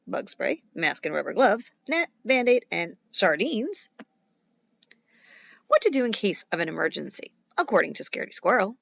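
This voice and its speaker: A woman reading a children's picture book aloud as a teacher would, in a dramatic, playful way with emphatic pauses. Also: mid-range voice, vocal fry, fairly bright tone, dry recording. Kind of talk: expressive children's storybook read-aloud.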